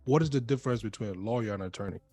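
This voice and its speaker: A man's voice: on the low side, racing, warm tone. A man hosting a podcast interview in a casual, friendly way, often calling his guest "man". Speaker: podcast host.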